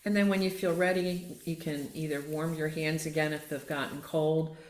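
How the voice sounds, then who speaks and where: A woman leading a guided relaxation as a yoga nidra teacher, gently and without hurry, inviting listeners along. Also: deep voice, steady tone, moderate pace. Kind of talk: guided meditation and relaxation instruction. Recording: roomy, echoing sound.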